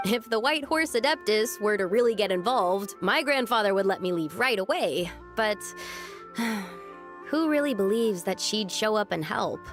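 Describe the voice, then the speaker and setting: A woman playing an earnest, polite young woman with a hesitant, expressive manner in an anime game. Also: mid-range voice, a little slow, faint music behind, lightly acted. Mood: resigned.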